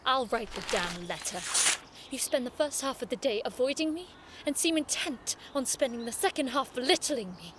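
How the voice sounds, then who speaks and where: Woman playing a high-voiced, prim young noblewoman. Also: expressive, normal speed, noisy background. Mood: angry, hurt. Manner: arch, formal, sarcastic. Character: prim, exasperated young noblewoman student with sharp tongue. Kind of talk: audio drama acting.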